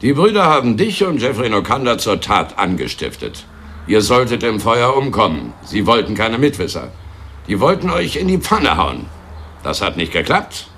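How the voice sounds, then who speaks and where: An actor playing a menacing, sneering secret police officer and racist bully in a German radio drama, mocking and given to barking orders. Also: male voice, fairly low pitch, very animated, moderate pace, a bit bright, slight background noise. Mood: pressuring, threatening. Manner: mocking, sneering, barks orders when angered.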